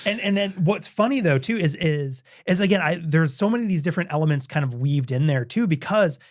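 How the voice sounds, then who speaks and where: A man's voice: a little high, animated, brisk. A male podcast co-host talking casually and enthusiastically about books, with lots of restarts and fillers. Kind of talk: casual chat.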